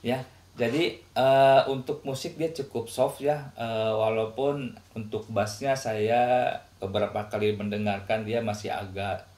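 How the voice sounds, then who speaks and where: A man's voice: on the low side, fairly even intonation, measured pace, darkish tone. A man with an Indonesian accent giving a casual, conversational product review, explaining as he goes. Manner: explanatory, conversational, often tags phrases with "ya" and "gitu". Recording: echoey, reverberant room.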